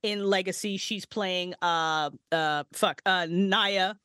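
A woman's voice: on the low side, slow pace, smooth, no echo, studio quality. A woman in her forties, a podcast co-creator, chatting casually as a guest, swearing freely and laughing often. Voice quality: profane, animated, laughing often. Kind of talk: casual chat.

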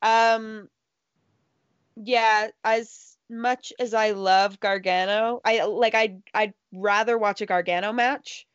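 A woman's voice: medium pitch, steady tone, slow, smooth, no echo, muffled recording. A woman co-hosting a casual podcast chat, teasing and wry.

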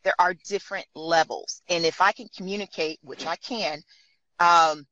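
Woman podcast host, eager interviewer, lower pitch with a bright, tense edge. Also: fairly even intonation, normal speed, dry recording, dull audio. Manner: enthusiastic, laughs often.

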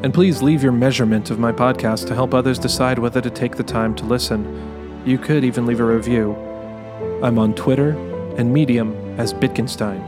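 A man's voice: medium pitch, fairly even intonation, moderate pace. A man hosting a podcast, reading a scripted sign-off. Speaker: podcast host. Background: guitar music.

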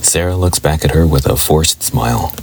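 A man's voice: very deep voice, moderate pace, a little hiss or hum. A man narrating a supernatural radio play in scripted present tense. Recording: loud music and rain underneath.

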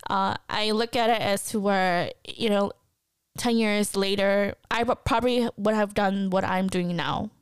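A woman's voice: medium pitch, fairly even intonation, a little slow, clear, resonant tone, dry recording, studio quality. A woman in her thirties from the United States, hosting a podcast and talking candidly and chattily to listeners, often laughing. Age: 30s. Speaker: podcast host. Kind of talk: casual personal podcast monologue.

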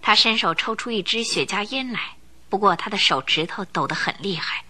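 A woman's voice: mid-range voice, a little fast, bright, forceful tone, a little hiss or hum. A woman narrating a radio drama in a vivid, dramatic storytelling manner.

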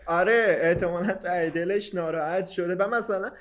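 A man's voice: high-pitched, a bit bright. A man, talking as a podcast host in casual, slangy speech sprinkled with English words. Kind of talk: casual conversational podcast chat.

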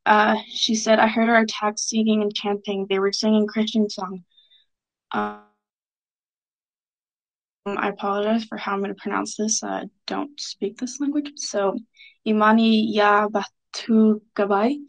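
A woman's voice: mid-range voice, rough recording. A woman leading a student book discussion, summarizing chapters and asking questions in a hesitant way with many fillers.

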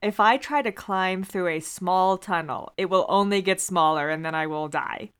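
A woman's voice: on the low side, normal speed, fairly bright tone, smooth. Dryly funny woman in casual conversation.

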